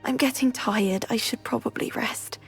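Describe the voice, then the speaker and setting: Tired cursed young witch, soft sighing delivery, higher voice, quiet music. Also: normal speed, gravelly. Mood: weary. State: weary. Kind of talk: video game cutscene acting.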